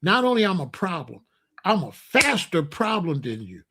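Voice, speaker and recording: Older man, high-pitched voice, blunt, repetitive, opinionated. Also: very animated, normal speed, background noise. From Chicago, USA. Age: 60s. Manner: emphatic, repetitive, blunt street talk. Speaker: commentator. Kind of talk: opinionated monologue.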